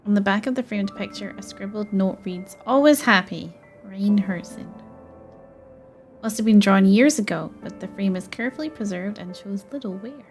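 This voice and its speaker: Expressive young woman gamer, mid-pitched. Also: moderate pace, soft background music. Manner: expressive, casual reactions. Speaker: gaming streamer. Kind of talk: playthrough commentary, reading game text aloud.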